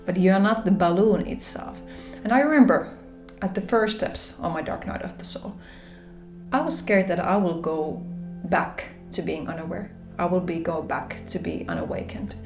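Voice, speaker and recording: A woman with a Finnish accent, speaking English as a second language, shares gentle reflections on a spiritual journey in a soft, pausing manner. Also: lowish voice, big pitch swings. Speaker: spiritual vlogger. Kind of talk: gentle reflective monologue to camera. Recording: roomy echo with soft background music.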